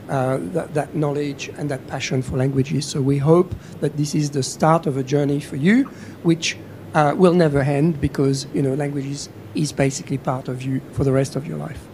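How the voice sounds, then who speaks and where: A man lecturer welcomes new language students in a slightly high voice. He hesitates and repeats words as he goes. A rumbling noise runs under the recording. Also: mellow. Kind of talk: welcome speech. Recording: noisy lecture room with rumble.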